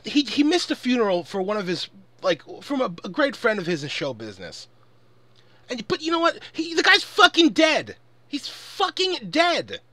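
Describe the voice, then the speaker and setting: A man's voice: sits high, fairly bright tone, no room sound. A man delivering an angry, off-the-cuff rant in a profane, exasperated and emphatic way. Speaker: online commentator recording an audio log.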